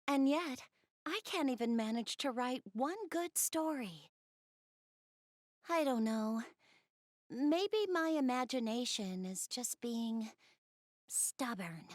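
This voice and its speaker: A woman's voice: fairly high pitch, expressive, takes it slowly, long pauses, no echo, clean studio sound. A woman voicing a shy, bookish young goddess in a video game, speaking hesitantly and earnestly. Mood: dejected, pensive. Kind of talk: video game character voice acting, intimate one-to-one dialogue.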